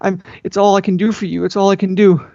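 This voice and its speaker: Overwrought lovesick young woman, played by a man, high voice, stammering pleas, amateur fan fiction reading, dull sound. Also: flat delivery, fast talker, vocal fry, warm tone, dry recording. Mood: anguished, apologetic. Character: anguished, pleading young woman in love.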